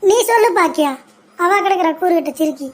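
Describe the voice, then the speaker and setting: A woman's voice: extremely high pitch, animated, a little fast. A woman voicing a blunt, chiding old grandfather who protects his daughter-in-law in a cartoon parody.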